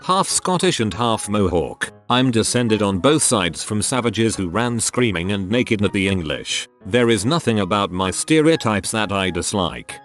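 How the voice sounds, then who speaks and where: A man narrating forum posts as a scripted reading, with a brisk, deadpan delivery of one-liners. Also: on the low side, soft background music.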